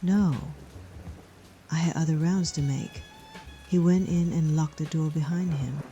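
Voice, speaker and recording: Glamorous guarded noir woman, late thirties: very dark mellow tone, flat and slow, hushed, faint music behind. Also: low voice, dull audio. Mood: guarded, wistful. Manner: hushed, measured, secretive. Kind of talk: noir audio drama acting.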